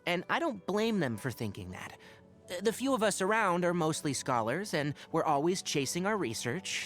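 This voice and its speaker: Lively, scholarly young fox-eared forest ranger. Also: male voice, on the high side. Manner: articulate, instructive, gently wry. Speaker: video game voice actor. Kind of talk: scripted game character voice lines.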